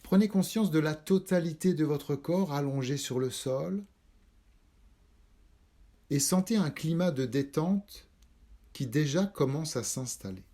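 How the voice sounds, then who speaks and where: Slow, mellow man guiding relaxation. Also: on the high side. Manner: calm, measured, instructing in short spaced phrases. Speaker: yoga nidra instructor. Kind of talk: slow guided relaxation meditation.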